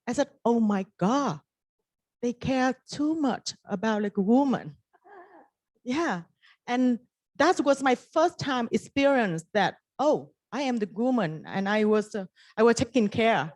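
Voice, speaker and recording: A woman's voice: on the high side, lively intonation, measured pace, darkish tone, no echo. A woman from Vietnam with a Vietnamese accent, a native Vietnamese speaker, telling her personal story as a panelist. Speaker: investment firm partner on a panel.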